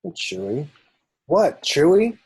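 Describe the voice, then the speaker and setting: A man acting out script lines in an amateur table read, with a rough, husky voice. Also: fairly high pitch, sing-song, takes it slowly, a little mellow, no room sound, full character voice. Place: remote video call from home.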